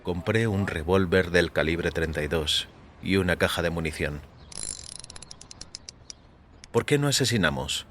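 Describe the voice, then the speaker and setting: A man reading scripted first-person fiction as a narrator, in a measured, literary, sombre manner. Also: low voice, animated, fairly bright tone, a little hiss or hum. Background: faint music.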